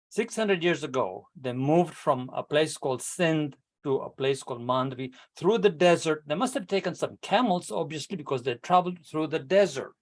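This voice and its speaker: An older man from Kenya giving a prepared speech at an online public speaking club, telling his story with rhetorical questions and addressing the audience as ladies and gentlemen. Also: a little high. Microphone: video call.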